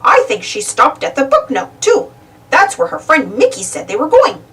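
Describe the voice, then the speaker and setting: Woman putting on a high, chatty shopkeeper. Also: huge pitch swings, normal speed, fairly bright tone, recorded from a distance, heavily acted. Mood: helpful. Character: chatty, cheerful storybook shopkeeper.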